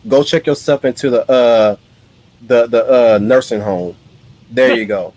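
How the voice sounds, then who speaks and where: A man hosting a casual live stream, talking bluntly and tripping over his openings with repeated words. His voice sits at an ordinary pitch. It is recorded close and dry, with no room sound. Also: unhurried, darkish tone, no room sound. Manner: blunt, repeats words while starting sentences. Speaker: stream host.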